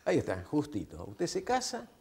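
Lively older male TV host. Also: a little high, moderate pace, breathy edge. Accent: Argentine Spanish. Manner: coaxing, playful, repeats short questions. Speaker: TV matchmaking show host. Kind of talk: studio interview and address to camera. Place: TV studio set. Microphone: lapel.

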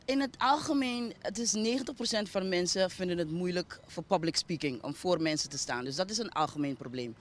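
A woman's voice: on the low side, brisk, few pauses. A woman with a Surinamese accent giving reflective, explanatory interview answers outdoors, mixing in English words. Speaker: interviewee, arts foundation founder. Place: outdoors by a street. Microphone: handheld interview microphone. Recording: outdoor ambient noise.

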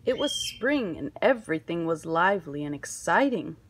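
A woman reading a children's picture book aloud in an expressive, deliberate storytelling manner. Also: fairly low pitch, husky. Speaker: storybook reader.

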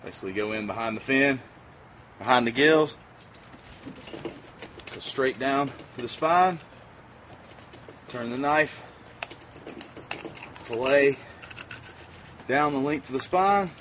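A man's voice: mid-range voice, takes it slowly, pauses often, a bit bright. A man in his forties, easygoing and folksy, talking through a casual how-to vlog on an outdoor deck to a camera a few feet away. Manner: easygoing, folksy, plain-spoken. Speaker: fishing vlogger. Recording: faint outdoor noise.

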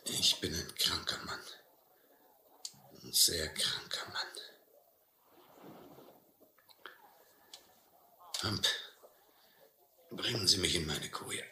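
An actor playing a hard, sardonic sea captain in a radio play, speaking in slow, terse phrases. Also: male voice, low voice, gravelly, bright, forceful tone, exaggerated voice. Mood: pained, weak. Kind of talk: German radio play acting. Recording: roomy, noisy old radio play recording.

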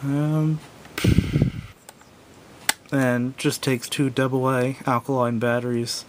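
A man giving a casual, unscripted product review in a halting, self-doubting way, recorded indoors at a tabletop on a camera microphone. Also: fairly even intonation. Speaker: gadget reviewer. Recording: clear background noise with low rumble.

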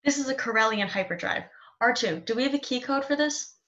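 A woman's voice: a little high, fairly quick, fairly bright tone, close to an everyday voice. Muffled woman playing a kind young mechanic. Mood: puzzled. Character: kind, practical young female resistance mechanic. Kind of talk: fan table read of a film script. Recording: dull video-call audio.

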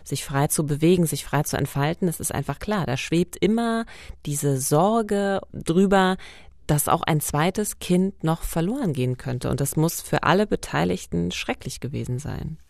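A woman's voice: sits low, animated, normal speed, creaky, darkish tone, dry recording, clean studio sound. A woman co-hosting a true-crime podcast in a conversational, narrating style.

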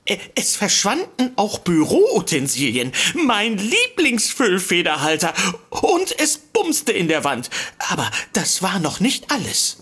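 Casting: nervous, giggly secretary for comic radio play; stammering, apologetic, lively pitch swings, husky edge. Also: female voice, a little slow, full character voice. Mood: anxious, fussy. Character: flustered, apologetic, fussy secretary seeking help. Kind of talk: comedic audio drama acting.

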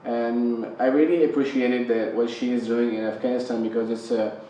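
A man speaking in a hesitant, halting way, with many fillers, on a panel. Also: medium pitch, fairly even intonation, dark tone, distant mic, a little hiss or hum. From Pakistan. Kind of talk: personal discussion. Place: studio with panel on a couch. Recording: echoey studio sound from off-mic.

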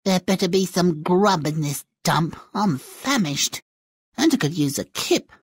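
A man playing a gruff, bossy fairy-tale dwarf in a video game, with a comic delivery. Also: sits high, very animated, a little slow, full character voice. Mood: grumbling. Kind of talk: video game character acting.

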